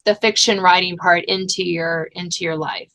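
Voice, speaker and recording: A woman's voice: on the low side, steady tone, normal speed, a bit bright, poor audio. A woman, casually interviewing a guest as a podcast host.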